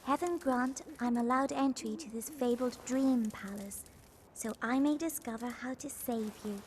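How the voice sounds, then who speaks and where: A woman voicing an earnest, devoted young princess with a sweet, formal manner in an animated fairy tale. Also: a little high, moderate pace, a little hiss or hum, natural voice. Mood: wondering, hopeful.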